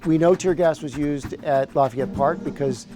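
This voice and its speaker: A man, a lawyer, speaking firmly and deliberately in a documentary interview. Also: a little high, over music. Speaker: lawyer on the case.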